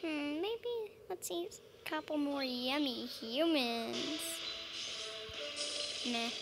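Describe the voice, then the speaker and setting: High-pitched child playing a game aloud. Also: slow pace. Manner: playful, talking to self in character. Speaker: gaming video host. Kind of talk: casual role-play game commentary. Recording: music behind the voice. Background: game music.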